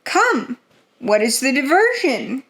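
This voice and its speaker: Slow-speaking, imperious tyrant king acted by a woman. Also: high voice, big pitch swings, pitched above the performer's own voice, full character voice. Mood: eager. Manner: imperious, loud exclamations. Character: tyrannical, blustering male king who loves crude jokes. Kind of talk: dramatic gothic story reading.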